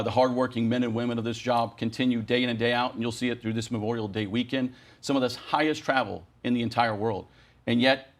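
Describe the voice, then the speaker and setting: A man gives a news interview as a union spokesman. He sits in a middle pitch range and argues his case earnestly, with emphatic, rallying phrases strung into long sentences. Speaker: air traffic controllers' union president.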